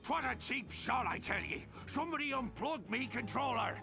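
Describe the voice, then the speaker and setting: A man voicing a cranky, impatient heckler in a video game, very gravelly. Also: very high voice, animated, normal speed, over music, full character voice. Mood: indignant. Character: cranky, impatient, heckling male space pirate.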